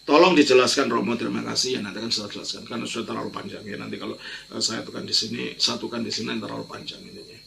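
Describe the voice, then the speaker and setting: An older man with a gravelly, sharp-edged voice explains matters of faith like a priest answering questions. He speaks informally and emphatically, restating key phrases to drive them home. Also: lowish voice, steady tone, very fast, slight background noise. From Indonesia. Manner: emphatic, repeats phrases, explanatory with colloquial tags. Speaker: Orthodox priest and teacher.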